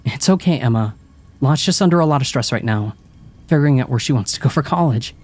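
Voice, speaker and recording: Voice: mid-range voice, expressive, fast talker, pitched above the performer's own voice, lightly acted. A man voicing a dismissive teenage girl who rolls her eyes and then soothes a friend. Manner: eye-rolling, soothing.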